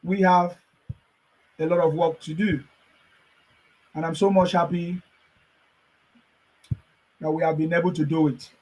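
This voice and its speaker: A man's voice: fairly high pitch, little pitch movement, unhurried, long pauses, darkish tone, poor audio. A man with a voice worn from chanting, joyfully addressing his followers and urging them on with repeated phrases. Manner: joyful, repetitive, exhorting. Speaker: political supporter addressing followers. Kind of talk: excited celebratory address.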